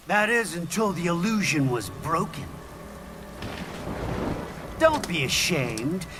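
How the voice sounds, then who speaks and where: High-pitched, taunting game villain. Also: male voice, heavily acted. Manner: drawn-out, taunting, theatrical. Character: smug philosophizing male terrorist villain, mocking and theatrical. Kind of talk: dramatic video game voice acting. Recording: loud game music behind the voice.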